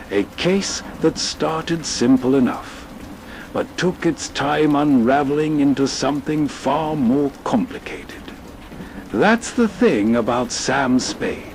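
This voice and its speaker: A man hosts in a scripted noir storytelling style, taking his time. His voice sits slightly high, with faint noise behind it. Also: very animated, slow pace, creaky, a little mellow, low-quality recording. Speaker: host. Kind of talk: scripted atmospheric noir narration.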